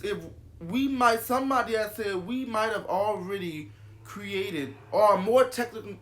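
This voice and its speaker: Congested young man vlogging casually. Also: sits high. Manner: rambling, repeats himself, many fillers. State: congested from a cold. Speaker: YouTuber. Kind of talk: casual vlog monologue.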